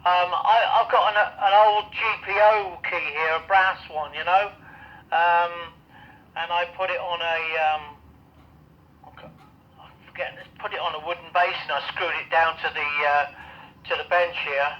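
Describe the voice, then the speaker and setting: A man's voice: high voice, fairly even intonation, a little slow, highs cut off. A man in his seventies chatting casually as an amateur radio operator, rambling with frequent hesitations, heard over a radio link. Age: 70s. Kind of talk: casual two-way radio chat. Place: home radio shack in roof space. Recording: band-limited ham radio transmission.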